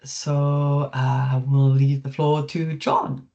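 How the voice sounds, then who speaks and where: A woman's voice: very deep voice, level tone, slow pace, warm tone. Lady hosting online meeting, has a cold, searches for words, lo-fi call sound. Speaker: workshop host. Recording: dull video-call audio.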